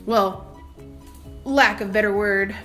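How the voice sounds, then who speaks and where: A young woman vlogger telling a personal story in a casual, animated and emphatic, indignant way. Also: mid-range voice, slow pace, soft background music.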